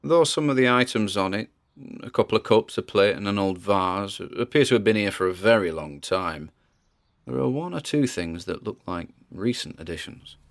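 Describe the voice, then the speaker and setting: A man's voice: lowish voice, lively intonation, moderate pace. A man with a British accent playing a wary, chatty paranormal investigator narrating his exploration in a podcast-style audio drama, with theatrical asides. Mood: uneasy, curious.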